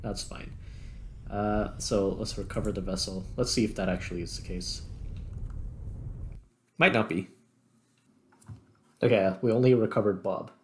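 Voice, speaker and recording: Low-voiced man commentating over noise. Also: fairly even intonation, measured pace, long pauses, darkish tone. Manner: thinks aloud while playing. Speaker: gaming channel host. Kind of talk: casual gameplay commentary. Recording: clear background noise.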